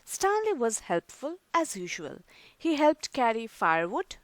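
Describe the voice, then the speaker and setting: A woman reading a children's picture book aloud, slowly and expressively. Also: fairly high pitch, big pitch swings, no room sound. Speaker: storyteller.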